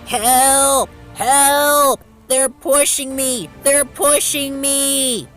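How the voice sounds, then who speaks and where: A storyteller voicing a panicked male steam engine with frantic, wailing shouts, in a children's story. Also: high-pitched, fairly even intonation, very slow, soft background music, pitched above the performer's own voice, heavily acted.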